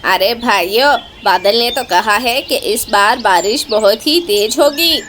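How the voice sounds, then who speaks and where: A woman's voice: fairly high pitch, unhurried, a bit bright, a little hiss or hum. A woman voicing a lazy, persuasive male crow in a cartoon story, with an exclamatory, urging manner. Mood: urgent. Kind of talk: Hindi cartoon story dialogue.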